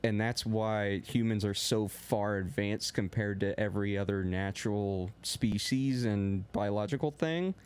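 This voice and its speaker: Loud, rambling young male podcast co-host. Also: on the low side, measured pace, few pauses, smooth. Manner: loose and rambling, heavy on filler words; talks a little loud. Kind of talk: casual chat.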